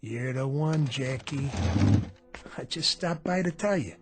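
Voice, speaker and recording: A man's voice: mid-range voice, measured pace, music in the background, lightly acted. An actor playing a menacing, mocking gangster boss with streetwise tough-guy talk in a radio drama. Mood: coldly menacing. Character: menacing, sneering male gangster boss bullying his underling.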